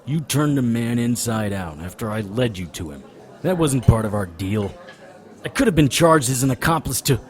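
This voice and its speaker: A man's voice: medium pitch, lively intonation, moderate pace, lightly acted. A man playing a weary, sardonic occult investigator in a noir audio drama, with a dry, wisecracking delivery. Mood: angry, sardonic. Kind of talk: noir audio drama acting with first-person narration. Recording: crowd chatter behind the voice. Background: crowd chatter.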